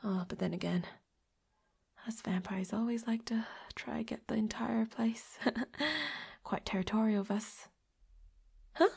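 A woman's voice: fairly high pitch, steady tone, measured pace, pauses often, darkish tone, heavily acted. Woman acting a coy, flirty vampire roommate. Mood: coy, secretive. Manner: coy, giggly, teasing, drops into breathy whispers. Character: flirty, teasing female vampire roommate, adult, playfully predatory. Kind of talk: intimate audio roleplay voice acting.

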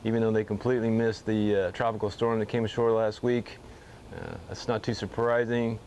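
A middle-aged man, a fly fishing guide, seated in his workshop, giving a casual weekly fishing report to camera with frequent pauses. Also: fairly low pitch, slight background noise. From Texas. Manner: relaxed, easygoing, with frequent "uh" pauses. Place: indoor garage-like workshop bar, seated at a table.

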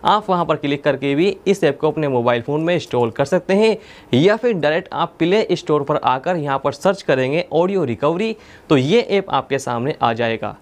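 A man's voice: fairly high pitch, lively intonation, normal speed. A young man presenting a tech tutorial step by step, in a methodical, instructional manner. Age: young adult. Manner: instructional, repeats points methodically. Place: indoor studio with plain blue backdrop.

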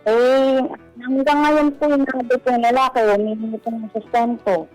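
Female Filipino caller, slightly high voice, halting and respectful, faint music underneath. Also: steady tone, darkish tone, low-quality recording. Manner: polite, deferential, halting. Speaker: caller seeking advice. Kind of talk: hesitant personal account in conversation.

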